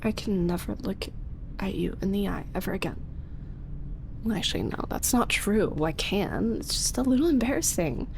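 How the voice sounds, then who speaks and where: A young woman voice actress playing a flustered roommate who secretly makes ASMR videos, speaking softly and slipping into whispers. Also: medium pitch, expressive, moderate pace, gravelly, slight background noise, poor audio. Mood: embarrassed. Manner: soft-spoken, drifting into whispers. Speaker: voice actress in audio roleplay. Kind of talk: ASMR roleplay.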